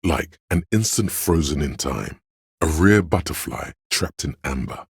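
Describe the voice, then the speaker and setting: A man voicing a wry, grandiloquent, erudite inner voice of trivia in a video game, lecturing in measured scripted narration. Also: a bass voice, animated, husky, dry recording, studio quality, heavily acted.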